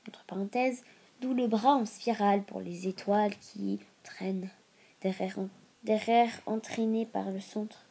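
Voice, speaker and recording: A woman's voice: medium pitch, fairly even intonation, unhurried, creaky, mellow, slightly rough. A woman with a stuffy nose from a cold hosting a casual educational video, reading aloud and breaking into informal asides as she stumbles over long words. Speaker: video host.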